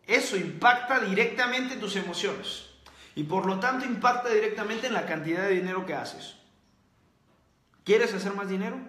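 High-voiced man giving a motivational talk. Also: a bit bright, roomy sound. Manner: preachy, repeats key points. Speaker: host. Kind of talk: motivational livestream talk, reading comments.

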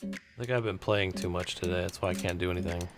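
A young man streaming a shooter game on a headset mic, chatting casually, excitable and self-mocking. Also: low-pitched, fast. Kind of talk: casual gameplay chat. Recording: game music behind voice.

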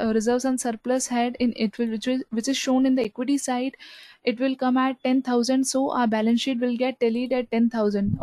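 A woman narrates a screen tutorial as a trainer, explaining each step and restating key points. Her voice sits a little high yet has a dark, relaxed tone. The sound is dry, with very little room in it. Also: dry recording. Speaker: software trainer.

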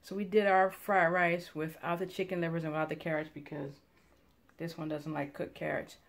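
A woman in her thirties talks to camera in a relaxed home vlog, chatty and teasing. Her voice sits a little low, and she is filmed close on a phone held at arm's length on an indoor staircase. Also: a little fast. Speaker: family vlogger. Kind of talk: casual home vlog chat.

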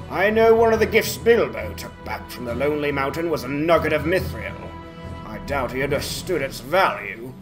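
A man voicing an old dwarf lord who speaks in a measured, formal, emphatic way in a dramatized fantasy game. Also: on the high side. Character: old dwarf lord, commanding, shrewd, faintly scornful.

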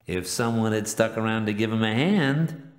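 An actor playing a cocky, sarcastic villain god in a video game, with slick, slangy patter. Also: male voice, on the low side, echoey room, close to an everyday voice. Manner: fast-talking, slangy, slick. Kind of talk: animated video game acting.

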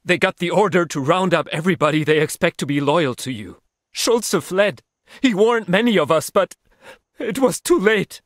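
An actor playing an earnest, anxious young village lad in a video game. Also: male voice, sits high, animated, moderate pace, fairly bright tone, no echo, clean studio sound. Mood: urgent. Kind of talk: video game cutscene acting.

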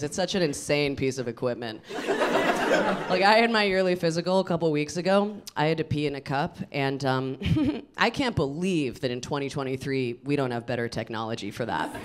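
An American woman in her thirties performing stand-up comedy in an animated, emphatic way into a handheld microphone. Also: low voice, heavy background noise. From suburbs of Boston, United States. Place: small indoor comedy venue with audience. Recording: live room with loud audience laughter. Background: audience laughter.

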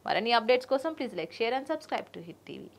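Casting: young female news presenter, higher-pitched, formal scripted read. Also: very animated, normal speed, vocal fry, loud music behind the voice.

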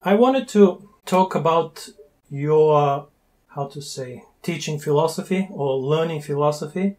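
A man from Bulgaria in his forties, chatting on a video call through an earphone mic, playful and teasing, pausing to search for words. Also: fairly high pitch, darkish tone. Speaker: language learner interviewing a tutor. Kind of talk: casual video-call chat. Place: small room indoors with wooden doors. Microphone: wired earphone mic on a video call.

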